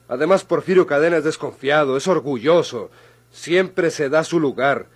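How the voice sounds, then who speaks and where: A Mexican actor playing a commanding, suspicious lawyer with an authoritative, deliberate manner, in old radio drama style. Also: male voice, a little high, slightly rough. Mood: stern, reasoning. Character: stern male lawyer, commanding and suspicious interrogator.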